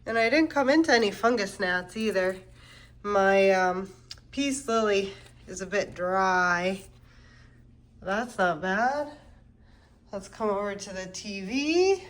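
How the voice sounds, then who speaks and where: Slow-talking congested woman vlogger. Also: mid-range voice. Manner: relaxed, chatty, walking through rooms with fillers. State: congested from a cold. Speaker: plant channel host. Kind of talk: casual home-tour vlog. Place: home, moving between rooms.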